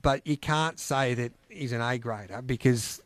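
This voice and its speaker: A man's voice: medium pitch, measured pace, no room sound. An Australian man hosting a harness racing podcast, chatty and teasing, putting questions to his co-hosts. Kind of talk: casual racing chat.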